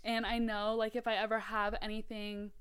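A woman in her late twenties is a guest in a casual podcast chat. Her voice is smooth and clear, at an ordinary pitch for a woman. She speaks in a friendly, relaxed way. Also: normal speed. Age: 20s. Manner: friendly, relaxed, with light laughs.

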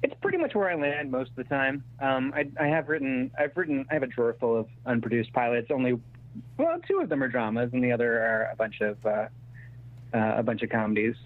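A man's voice: mid-range voice, big pitch swings, a little fast. A man answering interview questions as an actor-writer in a candid, conversational way, often backtracking as he goes. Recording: thin phone-like radio sound.

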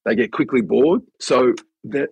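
A man's voice: medium pitch, unhurried, gravelly, a little mellow, husky, no room sound. A man on a casual podcast who mentors students and athletes and explains things steadily, often restarting his sentences.

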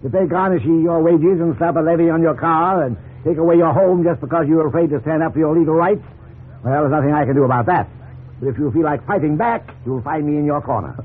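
An actor playing a stern but supportive company boss in a 1950s radio drama, dry, formal and pointed. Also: male voice, a little high, a little fast, husky. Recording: noisy, tinny 1950s radio recording.

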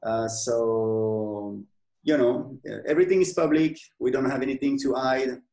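A man with a French accent, a software company owner speaking in a casual interview, hesitant, with many fillers and restarts. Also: medium pitch, fairly even intonation, unhurried, roomy sound, low-quality recording. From France.

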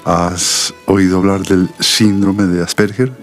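A middle-aged Colombian doctor, a protective father with a very deep voice. He speaks in a measured, confiding and serious way, as in a radio soap, over faint music. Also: level tone. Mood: confiding, grave. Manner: measured, solemn, articulate. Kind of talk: radio soap opera acting.

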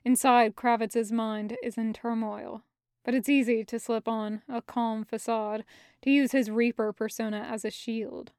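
A woman's voice: on the high side, flat delivery, measured pace, a little mellow, clean tone, no room sound, clean studio sound. A woman reading scripted fiction as the narrator of an audio story. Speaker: podfic narrator.

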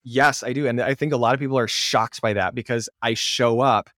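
Casting note: young male guest, animated and chatty, middle pitch, dry close mic. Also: brisk, no room sound. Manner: animated and excitable, lots of "like" fillers and laughs. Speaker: podcast guest. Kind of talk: casual conversational interview.

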